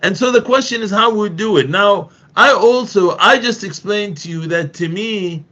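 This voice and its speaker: A man's voice: high voice, sharp tone. Emphatic middle-aged man, muffled lo-fi audio. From Palestinian. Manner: halting, repeats and restarts phrases, emphatic. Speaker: activist guest. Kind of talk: earnest conversational discussion.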